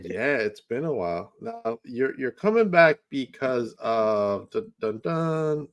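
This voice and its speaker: A man's voice: medium pitch, sing-song, takes it slowly. A man with a New York accent hosting a podcast, upbeat and easygoing, laughing along with his guest. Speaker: podcast host. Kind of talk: casual podcast interview.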